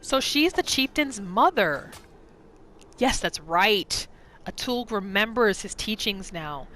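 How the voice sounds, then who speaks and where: Female gaming host: bright voice, musing aloud in role-play commentary, very varied intonation. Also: mid-range voice, slow pace, a little hiss or hum. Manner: musing aloud, expressive, questioning. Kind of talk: role-play game commentary, narrating her character's thoughts.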